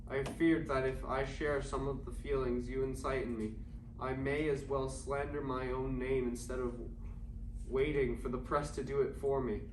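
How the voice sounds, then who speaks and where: A lovesick writer of the 1920s, acted by a man, speaks his love letters with earnest, poetic longing at a middle pitch. It is a staged play reading, caught from far off in an echoing room with clear background noise. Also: flat delivery, moderate pace, darkish tone, lightly acted. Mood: yearning. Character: lovesick male writer in 1920s Paris, yearning and fearful. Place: indoor performing arts academy, mic far off. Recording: distant, echoey, noisy live stage recording.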